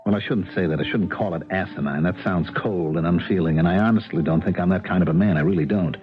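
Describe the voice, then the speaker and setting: A man's voice: low voice, fast, slight background noise, lightly acted. A man playing a defensive, unsentimental working man in a 1970s radio drama. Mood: defensive, exasperated. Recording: tinny band-limited 1970s radio, music behind.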